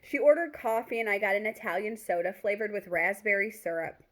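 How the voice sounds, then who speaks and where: A woman reading a first-person story aloud in an expressive, conversational way, occasionally stumbling and rereading words. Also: mid-range voice, brisk.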